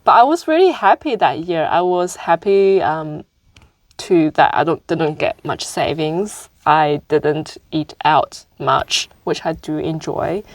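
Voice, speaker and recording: A woman's voice: low-pitched, animated, slow, no echo. A woman guest in a casual talk who laughs through her words and corrects herself as she goes. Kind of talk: casual conversation.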